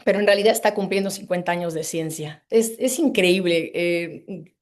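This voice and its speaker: A woman's voice: fairly low pitch, normal speed. A woman in her fifties, originally from Mexico City, hosting an online presentation over a video call in an effusive, rambling way with many hesitations. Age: 50s. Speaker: webinar host. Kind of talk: online presentation to a live audience.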